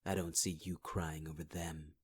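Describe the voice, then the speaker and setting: A man voicing a scarred, possessive fire-wielding villain in an ASMR roleplay, taunting in a low half-whisper. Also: level tone, moderate pace, husky. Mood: scornful.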